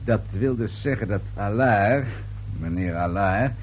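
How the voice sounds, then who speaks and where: An actor playing a persistent police inspector, questioning politely but insistently in a radio play. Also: male voice, lowish voice, measured pace, slight background noise, natural voice. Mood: probing.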